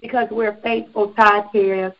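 A woman in her twenties, a gospel singer guest, speaks earnestly in a testifying way, slowing down on the points she stresses. The sound is somewhat dull and lo-fi. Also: mid-range voice, level tone. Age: 20s. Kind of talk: radio interview.

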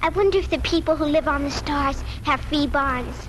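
A woman's voice: high voice, moderate pace, lightly acted. A female performer playing an earnest, curious little farm girl in a 1940s radio drama. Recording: noisy old 1940s radio broadcast.

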